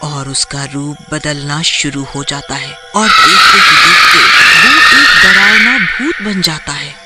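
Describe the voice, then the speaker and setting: A man's voice: fairly high pitch, slow pace. A man narrating a scripted children's horror story as the storyteller. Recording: music clearly behind the voice.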